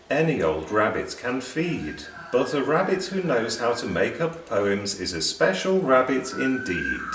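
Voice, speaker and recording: A man voicing a gentle female woolly sheep, a poet who recites in rhyme in a children's storybook. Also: medium pitch. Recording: roomy, distant, faint background noise.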